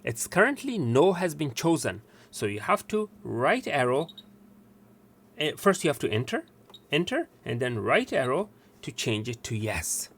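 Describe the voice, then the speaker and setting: A man's voice: medium pitch, sing-song, unhurried, fairly bright tone, husky. Man giving step-by-step technical instructions. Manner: instructional, repeats steps for emphasis. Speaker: tutorial presenter.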